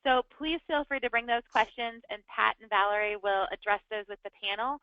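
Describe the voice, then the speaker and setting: A woman from the organizing association jumping in to give the audience brisk administrative notes. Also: on the high side, clear, resonant tone, no room sound, dull audio. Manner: brisk, friendly administrative announcements. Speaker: event organizer giving announcements.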